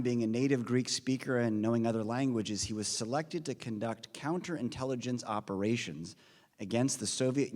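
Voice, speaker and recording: A man at a podium delivers a formal, earnest introduction in a steady, mid-pitched voice. His words ring out with the long reverb of a big conference hall. The delivery is measured and prepared. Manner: earnest, measured delivery, reads off names and figures. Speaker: speaker introducing the guest at a conference forum.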